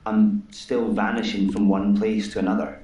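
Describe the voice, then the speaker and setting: An actor playing a nervous, apologetic man who vanishes through doors, talking in a halting way. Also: on the low side, level tone, dark tone, natural voice. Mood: uneasy. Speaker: patient in a game. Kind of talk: full-motion video game acting. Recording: roomy game audio from a distance.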